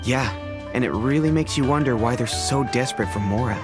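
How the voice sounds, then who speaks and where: A man's voice: medium pitch, a little fast, lightly acted. An actor playing a friendly male mercenary scout who briefs newcomers in a chatty, easy way. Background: guitar music.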